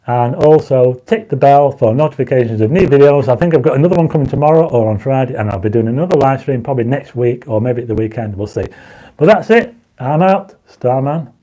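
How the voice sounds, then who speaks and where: A man hosting a casual livestream, reading out viewer comments and pushing for likes, his mouth dry and his voice going croaky. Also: medium pitch, lively intonation, brisk, dark tone, poor audio. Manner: reads viewer comments aloud, urges likes; enthusiastic when explaining stars. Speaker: livestream host. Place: home astronomy studio.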